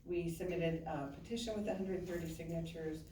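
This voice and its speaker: A woman's voice: fairly low pitch, flat delivery, fairly quick, warm tone. A woman hosting a community meeting, casual and upbeat with many fillers. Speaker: meeting host. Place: community center meeting hall. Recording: echoey hall, far from the mic, background noise.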